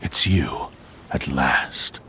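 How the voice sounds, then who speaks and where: A man's voice: extremely low pitch, extremely slow, heavy background noise, heavily acted. An actor playing a brooding, menacing possessed swordsman in a video game. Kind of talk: scripted video game dialogue.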